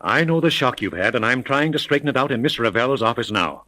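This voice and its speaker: A man's voice: mid-range voice, animated, fairly quick, sharp tone. An actor playing an imperious, impatient rich businessman in a 1930s radio drama, speaking formally and emphatically. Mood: soothing, firm. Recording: vintage 1930s radio recording.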